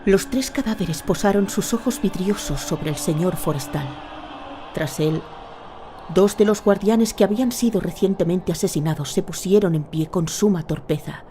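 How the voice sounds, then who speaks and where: A woman narrating a scripted audio drama in a measured, dramatic storytelling manner. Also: on the low side, dark tone, a little hiss or hum.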